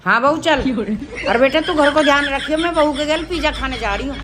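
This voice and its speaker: A woman's voice: sits high, brisk, background noise, close to an everyday voice. A woman with a Haryanvi accent playing a bossy, commanding village mother-in-law in a comedy skit. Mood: conspiring.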